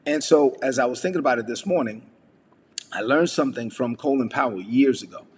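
A man's voice: medium pitch, lively intonation, brisk, darkish tone, husky, big-room sound. A man from the United States giving a casual devotional message, talking conversationally with frequent fillers and rhetorical questions. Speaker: preacher.

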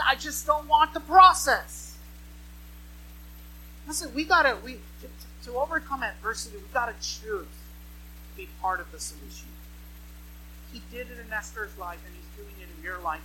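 A woman's voice: sits high, pushed and bright, rough recording. A woman in her mid-thirties preaching a sermon to a congregation, with emphatic repeated phrases and rhetorical questions.

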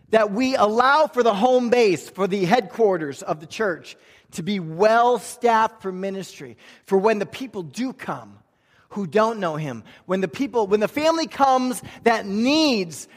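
A male pastor preaching a church sermon in an emphatic, conversational way, with rhetorical questions and repeated phrases. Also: high voice. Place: large room, preaching to a congregation. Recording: reverberant hall sound.

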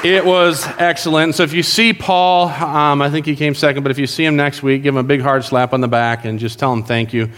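A man's voice: fairly high pitch, flat delivery, a little fast, hardly any pauses, fairly bright tone, some chatter behind. Man preaching in a reverberant church. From South America. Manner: jokey, conversational, addresses congregation directly. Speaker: pastor. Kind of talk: sermon. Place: church sanctuary.

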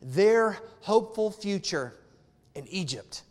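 High-voiced male pastor in an echoing church. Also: animated, takes it slowly. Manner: emphatic, repeats key phrases, conversational asides to congregation. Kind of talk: sermon. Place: church sanctuary.

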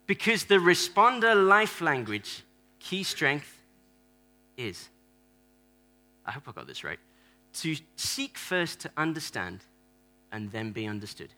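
Bright-voiced animated preacher man. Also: fairly high pitch, slow, pauses often. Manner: animated, playful, acts out church members. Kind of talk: sermon with audience props. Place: church hall.

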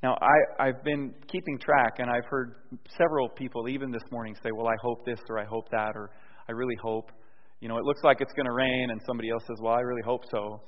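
A man's voice: steady tone, fast. Man preaching in an echoing hall. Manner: swings from soft and low to loud and emphatic; conversational, with restarts and fillers. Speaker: pastor. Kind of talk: sermon. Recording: dull sound with long hall reverb.

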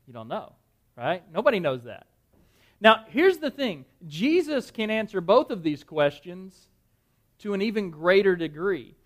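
High-voiced man preaching. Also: big pitch swings, measured pace. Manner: conversational, quizzes the audience, repeats words. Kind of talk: interactive Bible-study sermon. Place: dim room with a live audience.

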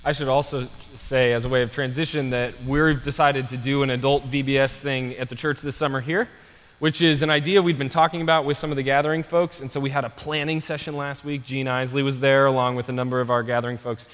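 Male moderator, casual and conversational, average pitch, roomy hall reverb. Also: level tone, quick pace, fairly bright tone. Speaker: moderator of a church panel. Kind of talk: casual spoken hosting. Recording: echoey hall.

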